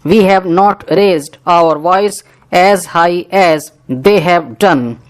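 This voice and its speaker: A reader, dictating a parliamentary speech slowly, phrase by phrase, for shorthand practice. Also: medium pitch. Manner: measured, deliberate phrase-by-phrase reading.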